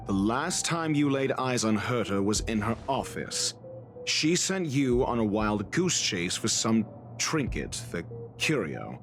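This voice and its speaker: A snooty game scholar, pompous and sarcastic, lively delivery, loud music behind him. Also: male voice, lowish voice, exaggerated voice. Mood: skeptical, mocking. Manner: condescending, pompous, ornate wording. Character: haughty, sarcastic male scholar and interrogator. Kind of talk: scripted game dialogue.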